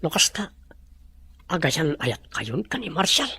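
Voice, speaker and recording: A man's voice: fairly high pitch, sing-song, a little slow, sharp tone, husky, full character voice. A man playing a proud, aggrieved rival in love in an Ilocano audio drama. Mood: hurt.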